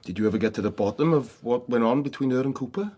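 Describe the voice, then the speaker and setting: An actor playing a dry, wry drinking companion in a radio drama, with a sardonic, laconic manner. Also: male voice, medium pitch, animated, fast, darkish tone, close to an everyday voice.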